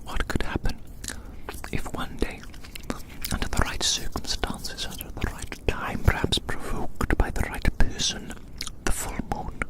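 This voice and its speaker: An ASMR performer delivering a roleplay monologue in a breathy, unvoiced whisper, musing deliberately and repeating phrases. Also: rough recording.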